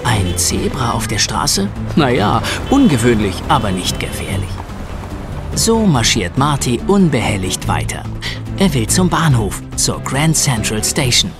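Expressive male storyteller, lively intonation. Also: mid-range voice, measured pace, music in the background. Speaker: narrator. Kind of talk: scripted children's audio drama narration.

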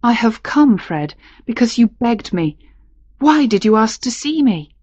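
A refined duchess from a storybook romance, voiced by a woman narrator. She is proud and reluctant yet fond, protesting in a raised pitch. The recording is dry and a touch dull. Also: expressive, normal speed, a little mellow, no room sound. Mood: reserved, kind.

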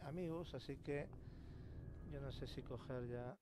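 A man from Spain narrates his own video game session in a casual way. His pitch is average and he mutters asides as he plays. Loud game ambience and machinery rumble sit behind his voice. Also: a little slow. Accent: Spanish from Spain. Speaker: gaming YouTuber. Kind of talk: casual gameplay commentary. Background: video game ambience, engine-like rumble.

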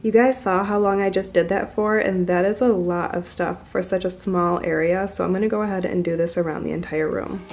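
A woman's voice: lowish voice, steady tone, brisk, hardly any pauses, darkish tone. A woman, giving a casual, explanatory voiceover as a home vlogger.

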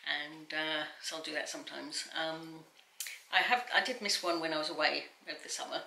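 A woman's voice: sits low, steady tone, fairly quick, vocal fry, sharp tone. Fifties woman chatting about crafts, lots of ums, echoey little room, faint hiss behind. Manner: chatty, many fillers and agreeing murmurs. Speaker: crochet vlogger host. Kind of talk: casual chat. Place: small indoor room on a sofa.

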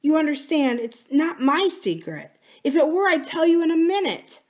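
A woman voicing a sweetly pleading, manipulative young woman in an audiobook, with soft, drawn-out phrases. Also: sits high, very animated, voice pushed up. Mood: coaxing, confiding. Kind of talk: audiobook character dialogue.

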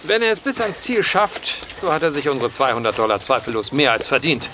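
An actor in a German audio drama playing a teasing, unyielding gambler with a wry, mocking manner. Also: male voice, medium pitch, big pitch swings, fairly quick, over music, close to an everyday voice.

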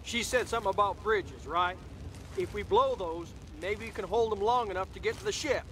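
An actor playing a brash, folksy, gung-ho army grunt in a video game. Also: male voice, high voice, moderate pace, a bit bright, slight background noise, poor audio. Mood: eager. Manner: folksy, cocky.

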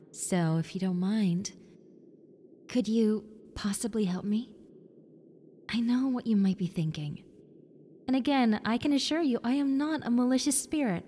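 A woman voicing a friendly, needy young female ghost in an intimate roleplay, softly coaxing the listener with hesitant pauses. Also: mid-range voice, lightly acted. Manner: soft, coaxing, hesitant pauses, sometimes near whisper. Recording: long reverb, clear background noise and ambience.

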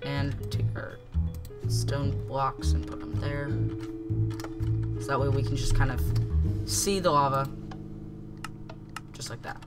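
A man's voice: mid-range voice, fairly even intonation, takes it slowly, long pauses, over loud music. A young man with a congested, stuffy voice from a cold, hosting a casual game tutorial, rambling and correcting himself with many ums.

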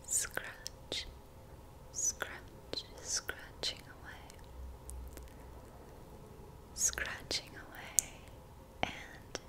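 Young woman doing asmr reiki, breathy whisper, really slow and gentle, right up on the mic. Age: young adult. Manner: soothing, unhurried, gentle. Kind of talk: ASMR guided energy healing. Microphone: close mic.

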